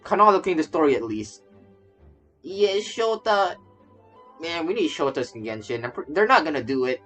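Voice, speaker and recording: A man's voice: fairly high pitch, sing-song, brisk, a bit bright. A man casually commenting on a game he is streaming, stammering and repeating his phrases as he rambles. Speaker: streamer reacting to a game story. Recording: game music behind the voice. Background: game music.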